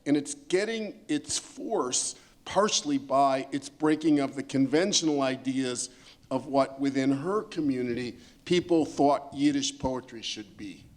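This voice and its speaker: A man's voice: medium pitch, unhurried. A middle-aged man speaking as an expert panelist in an emphatic, lecturing way, repeating phrases as he builds his point. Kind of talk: panel discussion, thoughtful commentary.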